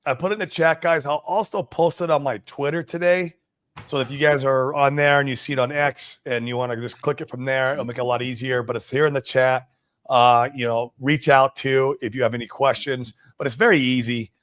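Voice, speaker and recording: A man's voice: medium pitch, fairly even intonation, fairly quick, fairly bright tone, dry recording. A man hosting a casual live chat, talking in an agreeable, plain-spoken way. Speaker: host.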